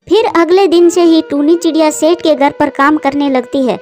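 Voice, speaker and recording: A woman narrates a scripted story for children. Her voice is very high, and music plays behind her narration. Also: fairly even intonation, moderate pace, a little mellow.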